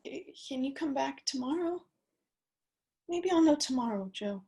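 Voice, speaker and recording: A woman's voice: sits high, lively intonation, normal speed, mellow. An actress playing a guarded, worn woman in a dramatic reading, hesitant and terse with an old lover. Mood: guarded, tender. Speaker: actor.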